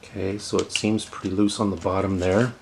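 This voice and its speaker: Middle aged guy doing a casual diy how-to, kinda low voice, plain explaining. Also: level tone, a little fast, gravelly, background noise. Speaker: host of a how-to tech repair video. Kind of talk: casual hands-on tutorial narration.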